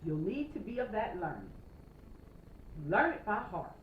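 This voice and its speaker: A woman voicing a stern but caring old Scottish sheepdog trainer who gives measured, emphatic instruction. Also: mid-range voice, big pitch swings. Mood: commanding. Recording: roomy, slightly noisy, dull home recording.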